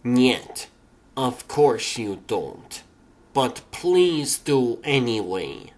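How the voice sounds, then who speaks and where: Comic-dub henchwoman: male actor as cool clinical scientist, very slow, mid pitch, precise and politely detached. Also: very animated. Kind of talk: comic dub character acting.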